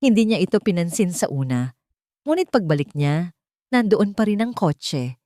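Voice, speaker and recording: A woman's voice: on the low side, sing-song, normal speed, dark tone, no echo, studio quality. A woman narrating a scripted dramatic story as its storyteller.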